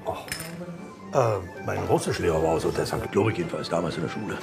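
Male Berlin detective, TV crime drama: low, creaky, laconic, hard-drinking. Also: lively intonation, over music. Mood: tipsy, guarded. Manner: laconic, halting, colloquial. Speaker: police detective. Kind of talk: naturalistic TV crime drama acting.

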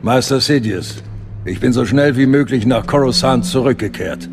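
Gravelly old dark lord acted by a man, formal, deferential, measured, radio drama, faint music. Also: medium pitch, close to an everyday voice. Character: dignified old male Sith apprentice, deferential dark lord. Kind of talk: German science-fiction radio drama acting.

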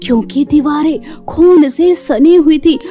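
A woman's voice: high voice, slow, mellow, soft background music. A woman narrating a scripted horror story as the storyteller.

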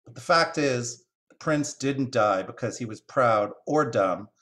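A man's voice: medium pitch, lively intonation, measured pace, a bit bright. A man voicing a grumpy, bitter crow who speaks seriously and fatalistically in a storybook reading. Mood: grave. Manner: bitter, grave.

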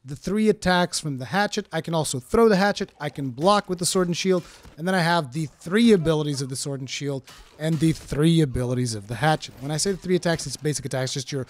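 A man's voice: fairly high pitch, fast talker. A man from Portugal with an American-sounding English accent, casually explaining his first impressions of a game in a chatty way with frequent fillers. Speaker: gaming streamer. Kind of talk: casual game review commentary.

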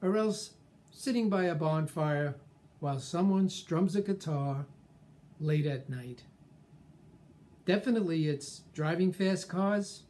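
An older man in his sixties or beyond giving a reflective scripted monologue on personal growth, phrasing it deliberately with measured pauses. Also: on the high side, mellow. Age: 60s or older. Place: small furnished room at home, indoors.

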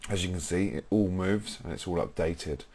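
A young British man presenting a software tutorial, explaining informally step by step in a husky voice. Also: sits low, normal speed. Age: young adult.

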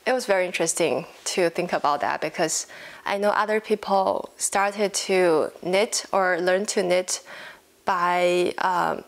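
Female vlogger, 20s, Chinese accent, lowish pitch with vocal fry, informal unscripted chat. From China. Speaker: knitting podcast host. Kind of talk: casual chat to camera. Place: living room at home, indoors.

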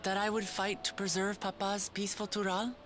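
A woman's voice: medium pitch, level tone, brisk, a bit bright, a little hiss or hum, close to an everyday voice. An actress playing a young, idealistic lion-woman warrior princess in a video game, speaking earnestly and from the heart. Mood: fond, nostalgic. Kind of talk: voiced game cutscene dialogue.

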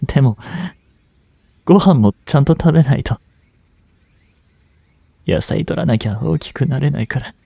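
A man in his twenties playing a remorseful, grieving young hitman in a voice drama, breathy and anguished. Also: mid-range voice, dark tone, no room sound. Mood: gentle, holding back. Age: 20s. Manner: anguished, halting, intimate. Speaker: voice actor. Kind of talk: Japanese voice drama monologue, emotional acting.

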